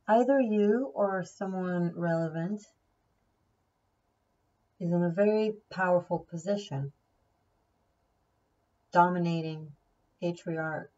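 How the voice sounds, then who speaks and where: A woman's voice: on the low side, mellow, recorded from a distance, highs cut off. A woman whose first language is Hebrew, giving a casual tarot reading, chatty and thinking aloud, often repeating key words. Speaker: tarot reader.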